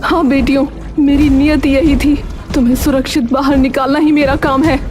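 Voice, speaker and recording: A woman's voice: sits high, flat delivery, normal speed, lightly acted. A woman playing a stern, protective mystic in a cartoon story, solemn and commanding. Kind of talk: Hindi children's cartoon story acting. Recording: music under the voice.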